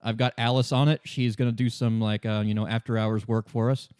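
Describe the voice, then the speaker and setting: A man playing a tired, dry police detective in a tabletop game, speaking in a halting, deadpan way. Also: mid-range voice, clear, resonant tone, dry recording, lightly acted. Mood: weary, matter-of-fact.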